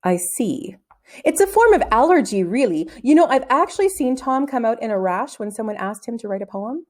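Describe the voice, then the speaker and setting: Lady doing a mild puzzled man teacher from a kids story, high wondering voice. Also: lively intonation, brisk, darkish tone. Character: puzzled, mild-mannered male schoolteacher. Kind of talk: children's storybook read-aloud.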